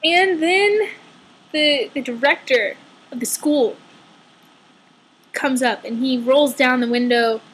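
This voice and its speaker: A woman with a high voice tells a story in a casual, conversational way. She takes her time, dramatizing the dialogue with playful sarcasm. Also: slow, long pauses, fairly bright tone, slightly rough, poor audio. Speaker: vlogger telling a personal story.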